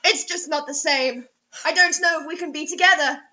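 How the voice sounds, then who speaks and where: A woman's voice: high-pitched, moderate pace, pushed and bright, highs cut off. A woman voicing a young male student actor, a brooding lover with overwrought, soap-opera delivery, in a comic reading. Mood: torn.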